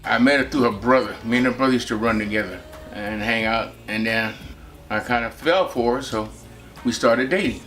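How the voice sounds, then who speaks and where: A man in his seventies from Texas, recalling his family life in a relaxed, rambling way with many pauses. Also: mid-range voice, soft background music. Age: 70s. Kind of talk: personal reminiscence.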